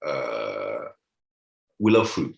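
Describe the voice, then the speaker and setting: Deep-voiced man. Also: very animated, creaky. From France. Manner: halting, repeats words while searching. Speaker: comedian guest. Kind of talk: casual chat. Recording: roomy echo.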